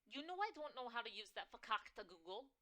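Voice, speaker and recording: A woman voicing a loud, nagging older mother with a shrill New York accent in an audiobook. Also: very animated, fairly quick. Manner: loud, nagging, talks over people. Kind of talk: audiobook character voice.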